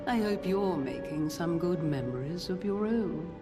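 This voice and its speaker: A woman voicing an elderly, genteel, nostalgic lady in a video game, breathy and reflective. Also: on the low side, animated, measured pace, music in the background. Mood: wistful. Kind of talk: scripted game drama.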